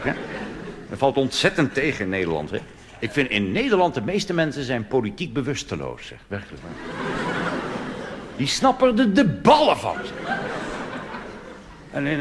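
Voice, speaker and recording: A man from the Netherlands performing a wry, mocking cabaret monologue on stage, now and then slipping into quoted voices. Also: on the high side. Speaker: cabaret comedian. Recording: live stage recording with audience and hiss. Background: audience laughter.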